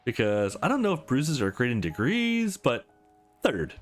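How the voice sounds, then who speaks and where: A man's voice: medium pitch, huge pitch swings, normal speed, soft background music. A man reading a visual novel aloud for a let's play, adding casual asides and correcting his own stumbles.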